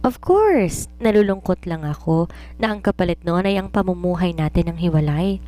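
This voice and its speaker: A woman's voice: on the low side, animated, moderate pace, dark tone, close to an everyday voice. A woman voicing a wealthy widowed mother, wry, reproachful and possessive, with pointed phrasing and some English mixed in.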